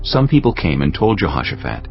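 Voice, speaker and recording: A man reading scripture as an audiobook narrator in a solemn, measured, scripted style. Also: very deep voice, big pitch swings, gravelly, lo-fi sound, faint music behind.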